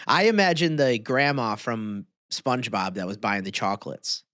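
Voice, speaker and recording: Animated, slangy man in casual chat. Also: mid-range voice, moderate pace, no room sound, studio quality. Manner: incredulous, slangy. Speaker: podcast co-host.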